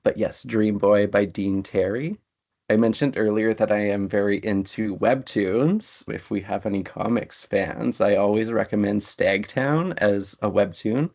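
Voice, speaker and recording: A man with a low voice and plenty of vocal fry talks casually as an interview guest. He shares things in a conversational way, and the recording is slightly dull and lo-fi. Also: measured pace, a little mellow. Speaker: interview guest, writer and lecturer.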